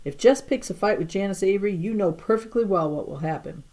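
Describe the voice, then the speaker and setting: A woman's voice: medium pitch, fast, warm tone, natural voice. Woman as young girl, sensible, soothing, well-spoken, loyal friend, storybook dialogue. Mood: reassuring.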